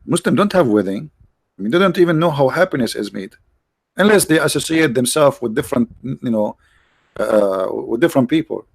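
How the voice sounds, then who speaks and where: A man's voice: medium pitch, lively intonation, brisk, vocal fry, slightly rough, no room sound. A man hosting a livestream, talking casually and sarcastically, with many restarts and fillers. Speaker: livestream host.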